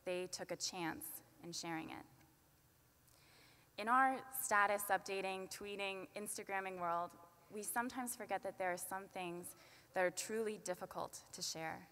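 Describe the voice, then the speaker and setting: A young woman in her twenties giving a commencement speech at a podium, earnest and measured with light self-deprecating asides. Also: medium pitch, bright, forceful tone. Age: 20s. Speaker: student commencement speaker. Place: large arena at a podium. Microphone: podium microphone. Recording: long arena reverb.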